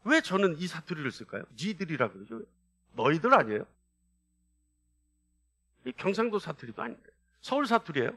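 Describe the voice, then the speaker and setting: This is a man preaching, with a high-pitched, bright and forceful voice. He speaks as a pastor to his congregation. His delivery is emphatic, built on rhetorical questions and repeated phrases. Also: big pitch swings, normal speed, long pauses. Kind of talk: church sermon with call-and-response prompts.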